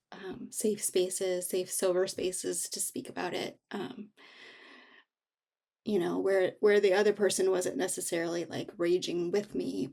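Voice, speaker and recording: A woman speaking reflectively as an interview guest, halting and thoughtful as she searches for her words. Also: mid-range voice, gravelly, darkish tone. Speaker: author, guest.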